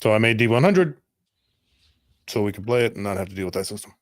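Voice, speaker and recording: A man with a fairly low voice explains things casually in the way of a game master. He is opinionated and adds clipped asides. The sound is close and dry. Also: lively intonation, quick pace, no echo.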